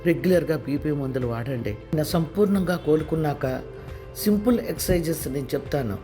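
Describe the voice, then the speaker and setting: A man voicing a doctor in an audio story, calmly giving instructions about a patient. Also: a little high, fairly quick, faint music behind, close to an everyday voice. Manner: calm, advising. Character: calm doctor giving advice.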